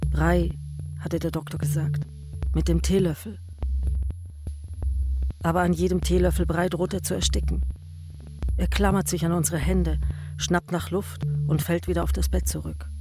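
An actress playing a grave woman in a radio drama, narrating her care of a dying man in a measured, stark, literary way. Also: on the low side, steady tone, natural voice. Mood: grave, intense. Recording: music behind the voice.

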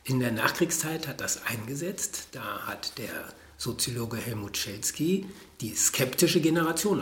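A male professor and education researcher explaining his ideas in a thoughtful, measured interview. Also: mid-range voice. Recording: roomy echo.

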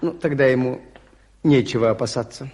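An actor playing a mild, shrewd priest detective, hesitant and quietly inquisitive, in a 1980s Soviet radio play. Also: male voice, medium pitch, normal speed, natural voice. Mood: gently probing. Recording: hissy old radio drama recording.

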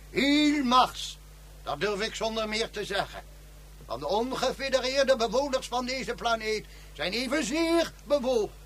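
A grandiloquent official holds forth in a high, reedy voice, piling up ceremonial phrases with self-important gravity. Each sentence is drawn out and weighty, as if every word deserved a medal. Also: male voice, fairly bright tone, a little hiss or hum, exaggerated voice. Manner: ornate, solemn speechmaking. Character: pompous long-winded male official, middle-aged. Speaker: dignitary giving a ceremonial speech. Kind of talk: radio play acting.

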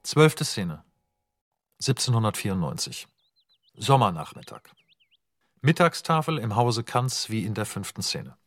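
A man reading stage directions as the narrator of a radio play, measured and matter-of-fact. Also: fairly low pitch, very animated, fairly bright tone, no echo. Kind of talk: scripted narration.

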